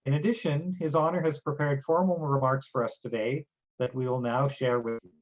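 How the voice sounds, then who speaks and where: Man, event host, formal introductions, careful reading, mid pitch, dull recording. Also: steady tone, brisk. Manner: reads names and titles carefully, occasional fillers. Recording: dull lo-fi sound.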